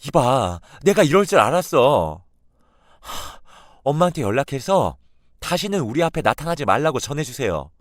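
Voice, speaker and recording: A man's voice: medium pitch, very animated, moderate pace, dry recording, studio quality, lightly acted. A man voicing a young wife who reacts with shock and anger in a read-aloud story. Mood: furious. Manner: shocked, then shouting. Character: young wife, hurt and furious.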